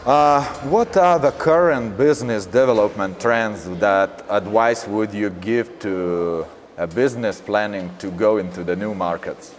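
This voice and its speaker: A man hosting a podcast interview, asking questions haltingly in non-native English with many fillers. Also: on the low side, slight background noise. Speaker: podcast host.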